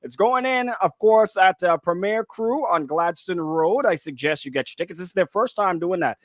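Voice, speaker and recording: A man's voice: sits high, animated, moderate pace, no echo, clean studio sound. A man with a Bahamian accent co-hosting radio, explaining things casually and conversationally and often repeating himself. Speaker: radio co-host and DJ.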